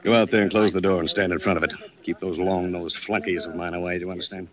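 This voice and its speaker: A man's voice: low voice, flat delivery, fast, close to an everyday voice. A man acting in a 1940s radio drama as a rude, fussy, domineering rich man who barks and sneers. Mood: brusque, commanding. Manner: blustering, barking orders, sneering. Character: rude, imperious, fussy rich male diamond owner. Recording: dull old 1940s radio recording.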